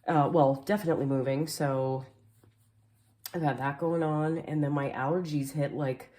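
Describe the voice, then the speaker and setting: A woman reading tarot cards as a casual voice-over, sounding a little nasal and hoarse from allergies, chatty and full of "like" and "um". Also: low-pitched, steady tone, moderate pace, dark tone. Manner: chatty, filler-heavy, frequent "like" and "um". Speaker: tarot reader. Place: home, voice-over behind the camera.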